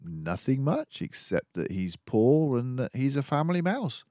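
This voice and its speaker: A man's voice: mid-range voice, big pitch swings, measured pace, mellow, no room sound, pitched above the performer's own voice. A man voicing an earnest, genteel mouse companion to a detective in a storybook, puzzled and admiring.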